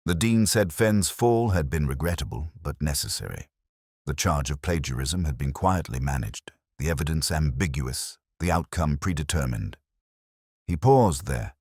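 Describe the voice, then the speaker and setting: A man narrating a detective story in the first person as a world-weary, reflective inspector, in a measured, literary and brooding manner. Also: very deep voice, no room sound, studio quality. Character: world-weary, reflective male police inspector recounting a case. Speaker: audio drama narrator.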